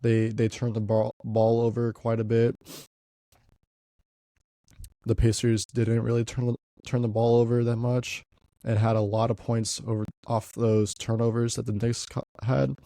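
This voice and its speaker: A man's voice: medium pitch, completely flat delivery, measured pace, warm tone, no echo, clean studio sound. A young man hosting a podcast, talking casually about basketball, congested and stuffy, often repeating words as he goes. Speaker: podcast host.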